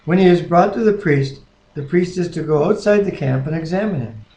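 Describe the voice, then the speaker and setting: Man, slightly high voice, earnest devotional co-host, plain-spoken, reverent, very echoey room, webcam mic. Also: a little fast, warm tone. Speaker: co-host reading the Bible aloud. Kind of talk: casual devotional talk, prayer and scripture reading. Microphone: webcam microphone at a distance.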